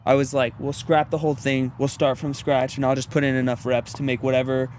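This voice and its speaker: A man's voice: mid-range voice, little pitch movement, fairly quick, a little mellow, slight background noise. A man in his early twenties from Louisiana, chatting easily in an interview and rambling with lots of "you know what I mean.". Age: 20s. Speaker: disc golf pro, interview guest. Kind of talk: casual interview chat.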